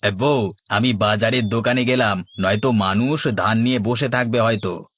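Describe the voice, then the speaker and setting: A man's voice: lowish voice, moderate pace, a bit bright, breathy edge. Man playing a henpecked, grumbling cartoon village husband. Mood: dry, placating. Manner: placating, grumbling. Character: henpecked village husband, placating, muttering dry jibes. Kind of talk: Bangla cartoon voice acting.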